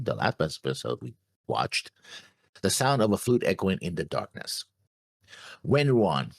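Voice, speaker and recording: A deep-voiced man with a husky, rough edge and lively pitch that moves around a lot. He talks casually through his notes as co-host of a discussion show. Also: no echo, clean studio sound. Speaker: co-host of an anime reaction show.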